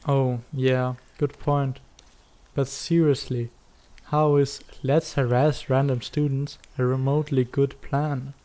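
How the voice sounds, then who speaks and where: A man's voice: mid-range voice, little pitch movement, slow, mellow, lightly acted. A man playing a snarky, laid-back but caring teenage schoolboy in a comic fan dub, with a dry, sarcastic delivery. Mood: wry, skeptical.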